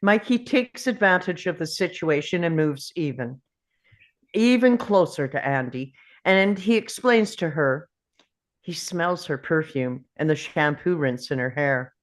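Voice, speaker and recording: A woman's voice: deep voice, expressive, measured pace. A woman reading out the stage directions as narrator at a table read of a film script.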